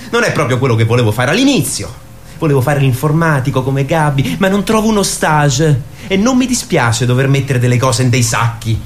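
An actor playing an eager, naive eighteen-year-old lad in a radio drama, rambling in slang and swearing. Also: male voice, medium pitch, big pitch swings, fairly quick, a little hiss or hum, lightly acted. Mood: proud, hopeful. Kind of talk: theatrical radio drama acting.

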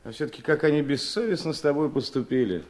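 An actor playing a feckless, sly young son in a radio drama, eager to please and hesitant. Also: male voice, fairly high pitch, animated, quick pace, a little mellow, lightly acted. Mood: ingratiating. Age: young adult. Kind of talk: theatrical radio drama acting.

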